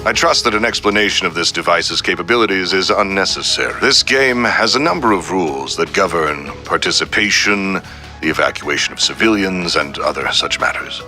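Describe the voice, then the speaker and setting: A man's voice: deep voice, brisk, soft background music, exaggerated voice. A man voicing a suave, coldly polite crime boss delivering a formal, grandiose villain speech in a video game. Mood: cold, official. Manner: formal, grandiose, calmly threatening.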